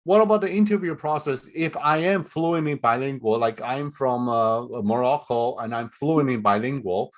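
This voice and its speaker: A man moderating a webinar Q&A over a video call, chatty and halting, with frequent laughs and restarts. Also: medium pitch. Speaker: forum moderator.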